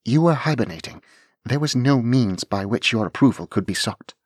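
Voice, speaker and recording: Storybook heroine, young noblewoman, voiced by a man, raised a touch higher, formal and firm, slightly husky. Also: lively intonation, normal speed, darkish tone, no room sound, clean studio sound. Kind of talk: audiobook character voice.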